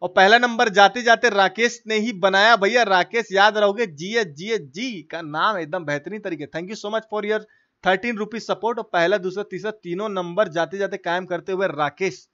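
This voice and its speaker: High-pitched, bright-voiced young male streamer. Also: normal speed, lo-fi sound. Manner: chatty, informal slangy talk, coaxing viewers. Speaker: gaming livestreamer. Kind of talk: casual livestream chat with viewers.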